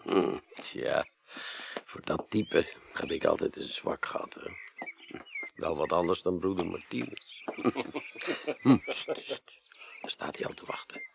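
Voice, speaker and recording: An actor playing a wry, unhurried investigator in a radio play, a gravelly voice and a dry, musing manner. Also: male voice, sits low, expressive, background noise, exaggerated voice. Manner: dry, musing, punctuated with hums. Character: wry, unhurried male investigator questioning a witness. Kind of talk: 1980s radio play acting.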